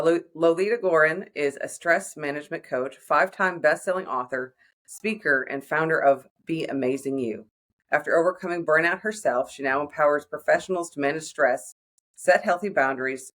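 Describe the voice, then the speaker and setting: A woman's voice: deep voice, a little fast, a bit bright. A woman hosting a podcast, reading a scripted guest intro and asking questions. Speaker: podcast host. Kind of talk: podcast interview.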